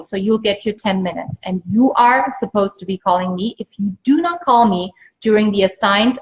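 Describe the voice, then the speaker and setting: Emphatic woman coach on lo-fi livestream. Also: mid-range voice. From Austria. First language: German. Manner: emphatic, repeats phrases for stress. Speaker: business coach and livestream host. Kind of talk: motivational live sales pitch to viewers. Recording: dull lo-fi livestream audio.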